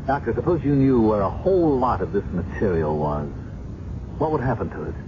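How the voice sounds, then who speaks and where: An actor playing a dogged, businesslike police sergeant in a 1970s radio drama. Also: male voice, fairly low pitch, lively intonation, fairly quick, natural voice. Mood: inquiring. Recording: muffled noisy 1970s radio recording.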